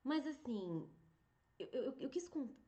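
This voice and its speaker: A woman, hosting a podcast and telling a personal story in a chatty, animated way, with many fillers and restarts. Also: high voice, slow pace, lo-fi sound. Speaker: singer and podcast host. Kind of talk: casual storytelling monologue.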